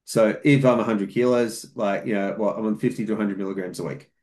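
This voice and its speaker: A man's voice: on the low side, fairly even intonation, fairly quick, a little mellow. A man speaking as a podcast guest in a casual chat, explaining things methodically at length, with fillers and restarts. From outside New Zealand. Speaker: podcast guest, fitness coach.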